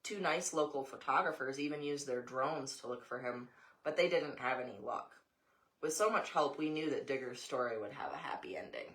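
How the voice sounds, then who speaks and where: A woman's voice: a bass voice, fairly quick, fairly bright tone, poor audio. An American woman reading a story aloud, with casual asides along the way. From Montana, USA.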